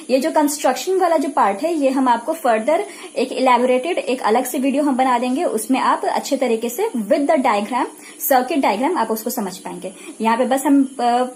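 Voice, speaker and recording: A woman's voice: sits high, a little mellow, slight background noise. A woman with an Indian accent lecturing in a didactic, repetitive way, asking questions and answering them herself. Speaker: physiotherapy lecturer. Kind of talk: explanatory lecture mixing Hindi and English.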